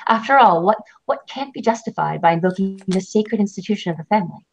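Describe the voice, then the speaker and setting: A woman's voice: mid-range voice, animated, quick pace. A woman voicing a cold, ambitious lawyer wife who speaks in a condescending, wordy way. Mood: sardonic. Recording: dull lo-fi recording.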